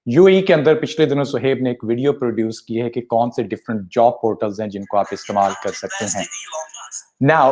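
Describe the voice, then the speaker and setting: A man's voice: mid-range voice, darkish tone. A man, hosting a live career talk show from an office and mixing with English. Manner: rapid, switches between Urdu and English. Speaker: host. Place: office with big windows, indoors.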